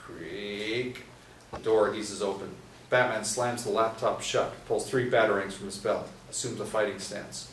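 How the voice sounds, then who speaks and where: Low-voiced man reading stage directions. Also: measured pace. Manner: steady, with small restarts. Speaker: script narrator. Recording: echoey room from a distance, faint hiss.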